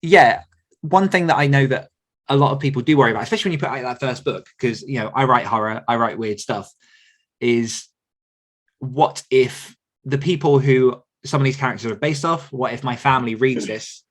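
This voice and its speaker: A man's voice: mid-range voice, moderate pace. Chatty man on a candid podcast. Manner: candid, chatty, laughs often. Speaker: podcast host and author coach. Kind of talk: casual podcast chat.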